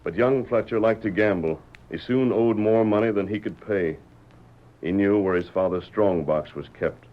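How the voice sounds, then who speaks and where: An actor playing a calm, commanding western hero who tells a tale in measured, deliberate radio-drama style. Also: male voice, fairly low pitch, natural voice. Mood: grave, steady. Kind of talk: old-time radio drama acting. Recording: dull vintage radio recording.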